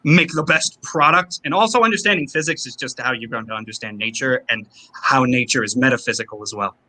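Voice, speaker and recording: A man's voice: mid-range voice, a little fast, sharp tone, rough recording. A man guesting on an interview show, talking about his concerts in wordy, formal phrasing with playful flourishes. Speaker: opera singer guest. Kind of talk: casual interview chat.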